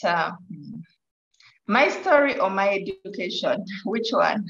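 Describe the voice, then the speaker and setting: A woman with a Ugandan accent talks casually as an interview guest. She speaks at a slow pace, heard over a video call with clear room echo and a slightly dull sound. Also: medium pitch, animated, fairly bright tone. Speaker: life coach, interview guest. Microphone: video call device.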